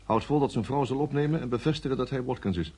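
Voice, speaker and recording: An actor playing a male security service officer in a radio drama, speaking in a measured, formal manner. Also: mid-range voice, a little mellow, slightly rough, close to an everyday voice. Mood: businesslike, deferential. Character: cool, menacing male security service interrogator.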